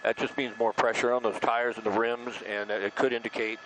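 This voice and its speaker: A quick-talking man with a mid-pitched voice reports live from a news helicopter. He explains and speculates as he goes, over a light layer of aircraft noise. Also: flat delivery, a bit bright, poor audio. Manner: explanatory, speculating as events unfold. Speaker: helicopter news reporter covering a police pursuit. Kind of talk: live breaking-news commentary.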